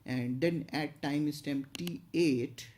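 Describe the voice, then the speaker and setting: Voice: medium pitch, takes it slowly, a little mellow. An instructor narrating an explanatory tutorial, going step by step with some hesitation and repetition.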